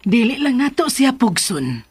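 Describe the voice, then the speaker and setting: A woman playing a concerned, patient middle-aged mother with a husky voice in a Filipino radio drama. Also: fairly high pitch, big pitch swings, unhurried, over loud music.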